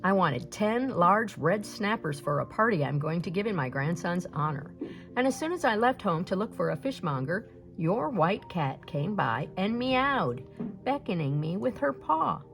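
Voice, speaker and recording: Old male merchant, done by a woman, storybook, rich, genial, hearty, creaky, very animated. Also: lowish voice, normal speed, faint music behind. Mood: marvelling.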